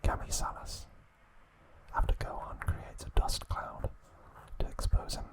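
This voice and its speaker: A man narrating in a soft, unvoiced ASMR whisper, recapping a story with frequent hesitant pauses. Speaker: ASMR channel host.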